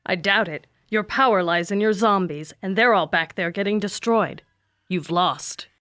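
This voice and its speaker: A woman's voice: medium pitch, moderate pace, fairly bright tone, close to an everyday voice. A woman playing a tough, confident, no-nonsense teenage girl monster hunter in an audio drama. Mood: cold resolve.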